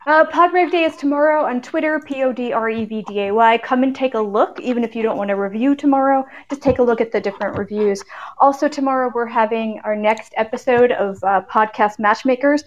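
A woman's voice: a little high, brisk, dull audio, slight background noise. A woman podcaster speaking casually as a guest in a live podcast chat.

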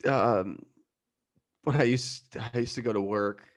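A man playing a distraught, exasperated bridegroom in an improvised comedy sketch, halting and anxious. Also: mid-range voice, natural voice. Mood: mournful.

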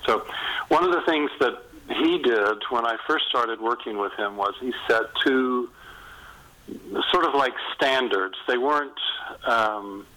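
A man of middle age or beyond speaks in a bright, forward voice at a medium pitch. He chats like an interviewed author, enthusiastic and gently self-mocking, pausing and regrouping within his thoughts. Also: low-quality recording. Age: middle-aged or older. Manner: enthusiastic, self-deprecating, halting mid-sentence. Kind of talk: casual conversational interview, anecdotal.

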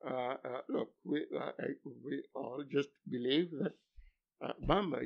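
An older man, a philosophy professor whose first language is Luo, speaking haltingly in an interview, then pressing with emphatic rhetorical questions. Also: a little high, gravelly. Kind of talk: academic interview. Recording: dull lo-fi recording.

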